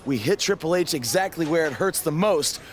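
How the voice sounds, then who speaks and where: An actor playing a cocky, scheming indie wrestler in a video game cutscene, with an eager, persuasive delivery. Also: male voice, on the high side, animated, a little fast, slight background noise, natural voice.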